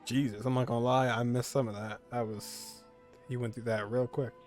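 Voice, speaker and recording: A man's voice: medium pitch, level tone, moderate pace, a little mellow. Male streamer, mid-range voice, off-the-cuff gameplay reactions, faint music behind. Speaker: video game streamer. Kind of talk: casual reactions while playing.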